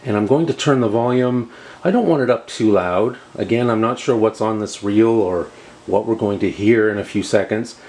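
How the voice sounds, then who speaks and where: A man's voice: fairly low pitch, normal speed, a little mellow. Man narrating a demo over background noise. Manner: methodical, explanatory. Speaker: host reviewing vintage audio equipment. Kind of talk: casual product demonstration narration.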